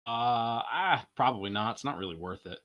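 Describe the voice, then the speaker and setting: A man's voice: medium pitch, animated, normal speed, muffled recording, background noise. A man as a guest on a game stream, chatting in a laid-back, agreeable way. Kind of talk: casual chat while gaming.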